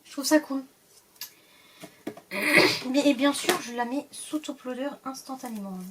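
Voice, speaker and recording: A woman's voice: high-pitched, a little slow, recorded from a distance, very noisy. A woman with a cold, casually opening card packs and reading the card names aloud, often hesitating and trailing off. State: stuffy from a cold. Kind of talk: casual pack-opening commentary.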